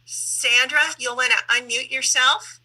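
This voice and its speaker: A woman's voice: high-pitched, fairly even intonation, measured pace, sharp tone, low-quality recording. A woman hosting a conversational panel interview over a video call, putting questions to guests. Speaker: interview host.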